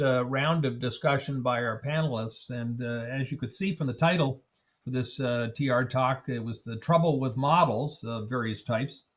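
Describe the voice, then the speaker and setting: Measured male moderator on a video call. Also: mid-range voice. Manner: measured, frequent fillers. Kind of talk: moderating a webinar panel.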